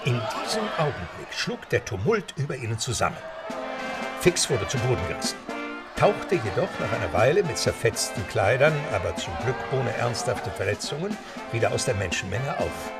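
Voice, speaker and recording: A man's voice: mid-range voice, sing-song, moderate pace. A man narrating an adventure tale in a German audio drama, scripted and dramatic. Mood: dramatic urgency. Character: male storyteller of an adventure tale. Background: music.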